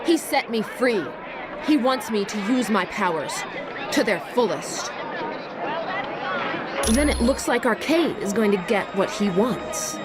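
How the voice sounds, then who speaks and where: A woman's voice: fairly high pitch, expressive, unhurried, long pauses, loud music behind the voice, over a noisy crowd. An actress playing a defiant, power-hungry superpowered woman in a video game, haughty, dramatic and threatening. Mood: taunting, angry.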